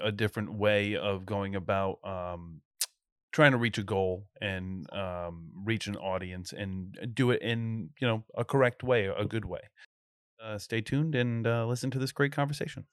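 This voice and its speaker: A man co-hosting a podcast, talking casually from home over a video call and pausing often with fillers. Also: fairly low pitch, fairly bright tone, smooth, dry recording, clean studio sound. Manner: hesitant, many fillers. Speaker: podcast co-host. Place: home, recording remotely.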